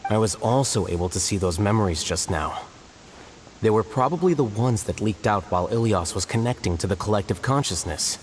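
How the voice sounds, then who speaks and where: A cool, detached young scholar played by a man with a low voice, clipped and matter-of-fact in every line. There is a faint clicking noise under his voice. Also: lively intonation, a little fast, lightly acted, low-quality recording. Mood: explaining. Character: calm aloof young male scholar. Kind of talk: video game dialogue.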